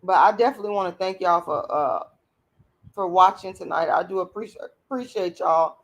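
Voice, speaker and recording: A woman speaks in a hoarse voice. She addresses her viewers directly in a blunt, emphatic late-night stream monologue. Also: fairly low pitch, brisk. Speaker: channel host.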